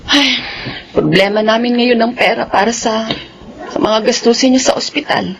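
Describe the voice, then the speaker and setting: An emotional long-suffering wife in a radio play, highish voice, bit of noise behind. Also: female voice, moderate pace, creaky. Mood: worried. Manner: earnest, emotional. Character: Nelia, long-suffering, protective, devout wife and mother. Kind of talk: radio drama acting. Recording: faint background noise.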